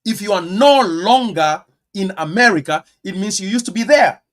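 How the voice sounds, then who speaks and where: A man with a Kenyan accent preaching a teaching sermon, stressing words and firing rhetorical questions, with sudden loud bursts and quiet asides. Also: high voice, expressive, normal speed. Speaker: pastor.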